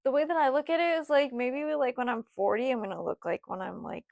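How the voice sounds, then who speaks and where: Young woman, twenties, casual vlog storytelling, rambling with fillers, slightly high voice. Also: lively intonation, brisk, vocal fry, no room sound. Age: 20s. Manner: rambling, lots of "like" and "you know", voice drops soft at times. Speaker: vlogger.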